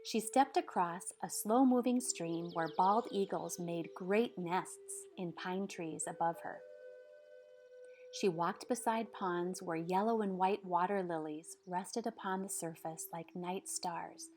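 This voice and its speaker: A woman narrating a storybook aloud in a measured, expressive way, with dramatic pauses. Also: on the low side, a little hiss or hum. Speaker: storybook reader. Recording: music behind the voice. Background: background music.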